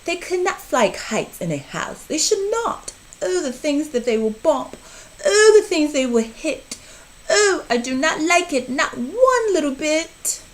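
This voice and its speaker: Woman voicing a shrill, panicky storybook fish. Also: big pitch swings, a little slow. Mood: fretful. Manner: urgent, repetitive, exclaiming. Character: panicky, scolding fish, fretful and fussy.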